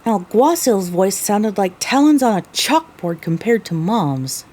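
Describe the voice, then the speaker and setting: A woman's voice: mid-range voice, very animated, a little slow, warm tone. A woman playing a young pony mare who narrates her own story wistfully, as scripted audio drama narration. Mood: descriptive.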